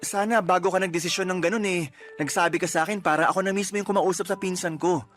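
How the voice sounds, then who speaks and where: A man acting a patient, earnest young boyfriend who gently reasons in a radio love-story drama. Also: high voice, fairly even intonation, fast talker, soft background music. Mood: reproachful. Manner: earnest, placating, mildly reproachful.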